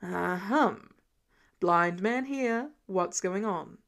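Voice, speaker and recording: A woman's voice: medium pitch, very slow, gravelly, pitched below the performer's own voice. A woman voicing a protective, dry-humoured male vigilante with a terse, understated manner in an audiobook. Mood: dry, pointed.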